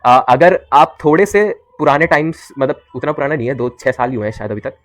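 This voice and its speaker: A man's voice: medium pitch, animated, very fast. A teenage boy with an Indian English accent, a YouTuber telling his story to camera in a rambling way, full of fillers and switching into Hindi mid-sentence. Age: teens. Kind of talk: casual talk to camera.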